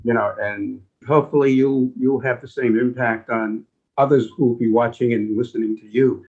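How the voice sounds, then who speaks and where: A man's voice: mid-range voice, steady tone, normal speed. An older man, a veteran journalist on a panel, talking reflectively in an earnest, folksy way. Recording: slightly dull, lo-fi audio.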